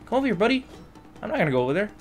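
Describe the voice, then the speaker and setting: A man's voice: high-pitched, big pitch swings, racing, faint music behind. A man doing casual, joking gameplay commentary with plenty of slang and swearing, as a streamer. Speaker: gaming streamer.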